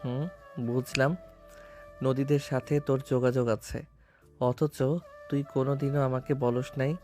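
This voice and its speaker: A man's voice: medium pitch, level tone, a little slow, a little mellow, soft background music, lightly acted. An actor playing a brooding, deferential young married man in a romantic audio story, speaking in a plain, subdued way. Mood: hurt, reproachful.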